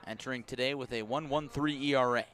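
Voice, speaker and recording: An American man doing live baseball play-by-play, measured and descriptive between pitches and emphatic on the action, speaking from a ballpark press box. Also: steady tone, a bit bright. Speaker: baseball play-by-play radio announcer. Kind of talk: live sports commentary.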